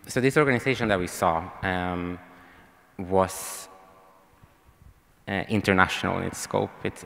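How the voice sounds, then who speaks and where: A man's voice: low voice, gravelly, fairly bright tone. A young man from Sweden with a Swedish accent, giving a hesitant panel talk with lots of ums, speaking into a handheld mic on a stage. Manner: hesitant, many ums and restarts. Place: large hall, on stage. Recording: long hall reverb.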